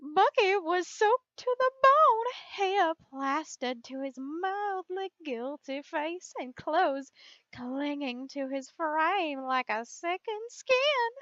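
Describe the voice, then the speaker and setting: A woman with a high voice reads a piece of fan fiction aloud. She sounds amused by what she is reading. The recording is close and dry but dull and lo-fi. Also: lively intonation, slow pace, fairly bright tone, no echo.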